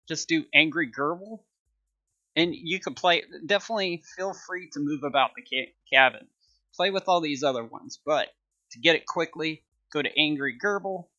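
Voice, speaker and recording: A man talks through a software tutorial in an informal, upbeat way. His voice is bright and somewhat high. The sound is close and dry, a little lo-fi. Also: no echo. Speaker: tutorial host.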